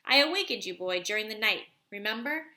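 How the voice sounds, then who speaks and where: A woman voicing a patient, wise old grandfather in a storybook, measured and reassuring. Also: medium pitch, expressive, sharp tone. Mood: reminiscing. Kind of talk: storybook read aloud.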